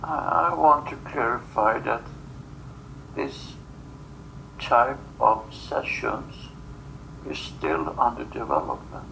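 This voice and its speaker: A non-native English-speaking man talks slowly, as if in a guided trance, with a heavily creaky voice. His phrases come in halting fragments with long pauses, over clearly audible background noise. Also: mid-range voice, very animated, sharp tone, low-quality recording. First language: not English. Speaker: subject of a channeling session. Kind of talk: trance channeling in guided session.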